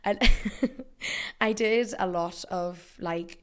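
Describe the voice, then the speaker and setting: A young Northern Irish woman with a slightly hoarse voice chats easily as a guest in a studio. She tells her stories casually and laughs as she goes, at an everyday middle pitch. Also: drawn-out words. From Northern Ireland. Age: 20s. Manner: chatty, laughing storyteller. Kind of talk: casual chat.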